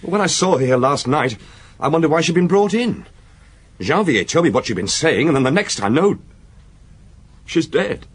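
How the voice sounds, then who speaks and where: A man's voice: fairly high pitch, very animated, normal speed, pauses often, husky. An actor playing an earnest, lovelorn young junior detective in a radio drama, hesitant and defensive but deferential to his superior. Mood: upset, defensive.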